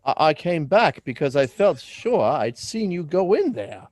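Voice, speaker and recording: A man's voice: a little high, very animated, unhurried, darkish tone. A man playing a curious, naive young man in a radio drama reading, hesitant and full of questions. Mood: curious, puzzled. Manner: wide-eyed, hesitant, questioning.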